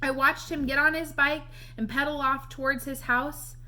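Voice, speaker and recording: A woman reading a children's novel aloud as an expressive storyteller. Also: high-pitched, normal speed. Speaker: read-aloud reader.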